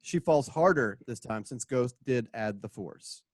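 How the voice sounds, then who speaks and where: A man serves as narrator for a radio drama, reading out action and stage directions with spoken sound effects. His pitch is average and the sound is dry. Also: animated, a little slow, no room sound.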